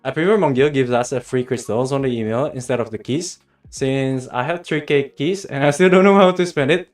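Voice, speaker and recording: A man's voice: mid-range voice, a little fast. A man from Australia hosting a livestream, chatting casually and directly with viewers as he gives game guidance. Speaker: livestream host and guide maker. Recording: music playing behind the voice. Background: background music.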